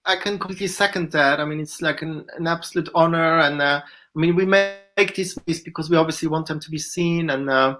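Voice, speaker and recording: Rambling filmmaker, mid-pitched voice. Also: fairly quick, pushed and bright. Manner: searching, rambling, restarts. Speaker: filmmaker guest. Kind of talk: festival Q&A answers.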